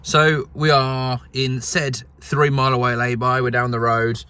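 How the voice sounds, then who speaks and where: A man's voice: mid-range voice, little pitch movement, measured pace, pushed and bright, clear, resonant tone. A man with a British accent chatting casually to camera with wry, understated humour, as a radio hobbyist vlogger. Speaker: radio hobbyist vlog host. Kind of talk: casual vlog chat to camera. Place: inside a parked pickup truck.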